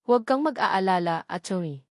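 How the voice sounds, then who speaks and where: A woman's voice: medium pitch, expressive, normal speed, dry recording, clean studio sound, lightly acted. A Filipino woman voicing a proud, guarded working woman, formal and firm, in a dramatic audio storybook. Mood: sharp, warning.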